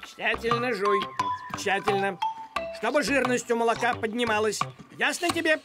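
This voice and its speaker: An actor voicing a bossy, fussy talking farm cat in an animated cartoon, with a commanding, nagging, excitable manner. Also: medium pitch, moderate pace, lightly acted. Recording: music behind the voice.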